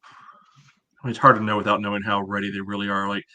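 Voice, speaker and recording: A man with a bright, forceful voice on the lower side co-hosts a sports podcast. He gives opinionated, analytical commentary in casual conversation. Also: monotone, brisk. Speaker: podcast co-host.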